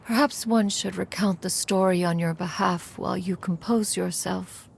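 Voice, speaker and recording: A woman voicing an ancient, aloof female crane adeptus in a game, speaking in a measured, formal way. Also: on the low side, fairly even intonation, gravelly, a little hiss or hum. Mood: solemn. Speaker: game character. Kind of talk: dubbed game dialogue.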